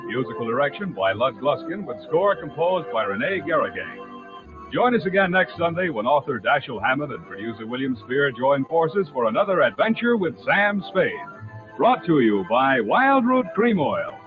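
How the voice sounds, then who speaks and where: A man's voice: mid-range voice, very animated, moderate pace. A man reading scripted credits as a 1940s radio announcer. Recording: narrow old radio broadcast, music behind.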